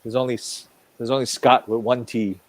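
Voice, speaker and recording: A man's voice: medium pitch, flat delivery, normal speed. A man chatting casually as a regular on a drawing show. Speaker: drink-and-draw show regular. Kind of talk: casual chat.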